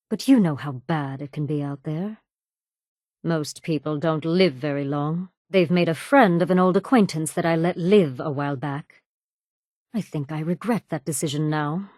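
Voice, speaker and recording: Woman acting a cold, low-voiced female raider boss. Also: a little mellow, no room sound, studio quality, lightly acted. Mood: cold contempt. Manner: cool, deliberate, sardonic threatening delivery. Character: cold, menacing female raider gang boss, sardonic and cruel. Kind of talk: video game character dialogue.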